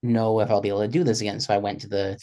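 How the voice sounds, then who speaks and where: A man's voice: lowish voice, flat delivery, racing, mellow. A man in his twenties speaking as a panelist in a reflective conversation, earnest and often correcting himself as he goes. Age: 20s. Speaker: panelist, freelance musician.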